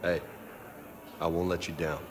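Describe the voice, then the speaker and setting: A man's voice: deep voice, little pitch movement, unhurried. An actor playing a cocky young male marine who jokes casually but stays loyal, in a game cutscene. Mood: easygoing. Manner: casual, joking, laughs easily. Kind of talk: video game cutscene acting.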